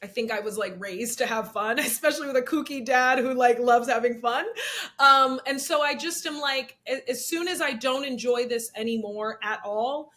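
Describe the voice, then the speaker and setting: A young adult woman with a slightly raspy voice, speaking candidly in an interview, animated and laughing often as she acts out what people said. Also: normal speed. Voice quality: slightly raspy, low-set voice. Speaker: actress and comedian, interview guest.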